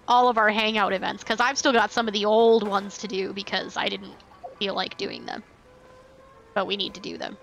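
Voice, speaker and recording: A woman's voice: mid-range voice, a bit bright, lo-fi sound. A woman chatting casually and playfully as she commentates on a live game stream.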